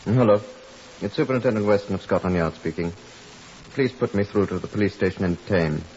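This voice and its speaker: An actor playing an authoritative police superintendent, measured and clipped, in a 1950s radio drama. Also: male voice, on the low side, natural voice. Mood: composed, official. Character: composed, authoritative Scotland Yard police superintendent. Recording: dull, hissy 1950s radio recording.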